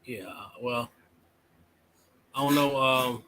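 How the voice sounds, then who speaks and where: A man's voice: mid-range voice, flat delivery, slow pace, pushed and bright, poor audio. A man hosting a casual talk about cryptids, loosely prompting his guest. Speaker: channel host. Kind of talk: casual chat interview.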